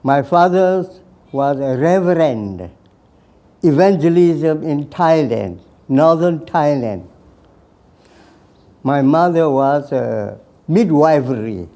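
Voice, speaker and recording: A man in his nineties from Burma, slowly telling his life story with hesitant pauses and repeated phrases. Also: fairly high pitch, expressive, mellow. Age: 90s. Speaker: veteran recounting his life. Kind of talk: slow personal storytelling.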